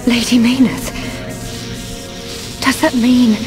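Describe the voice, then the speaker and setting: A respectful female attendant with a fairly high voice, formal and a little hesitant. It is game cutscene dialogue over clear music. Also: fairly even intonation, takes it slowly. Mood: respectful concern. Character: deferential female attendant to a great lady. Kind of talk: video game cutscene acting. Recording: music behind the voice.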